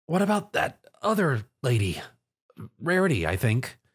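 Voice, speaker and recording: A man's voice: mid-range voice, big pitch swings, a little slow, husky. A man voicing a brave, snarky young male hero in an action story, cocky and wry.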